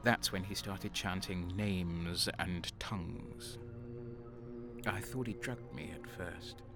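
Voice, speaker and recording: An actor playing a bitter, violent male renegade guardsman, narrating slowly in the first person. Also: deep voice, steady tone. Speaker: narrator and lead. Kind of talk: audio drama narration. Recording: music underneath.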